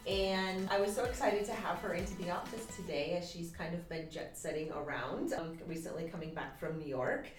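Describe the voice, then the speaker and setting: Low-voiced woman hosting in a roomy office. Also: brisk, hardly any pauses, faint music behind. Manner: warm, encouraging, many short affirmations. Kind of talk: casual interview.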